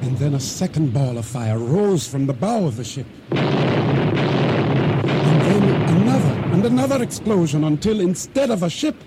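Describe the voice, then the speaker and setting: An actor playing a solemn island boatman, narrating his ordeal at sea in measured, formal, deliberate words for a radio drama. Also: on the high side, animated, very noisy, close to an everyday voice. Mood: urgent, anguished. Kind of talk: dramatic first-person narration for radio theater. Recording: radio drama with music underscore.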